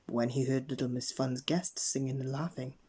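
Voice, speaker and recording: A man narrating a children's bedtime story in an expressive read-aloud manner. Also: medium pitch, normal speed, breathy edge. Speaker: storybook reader.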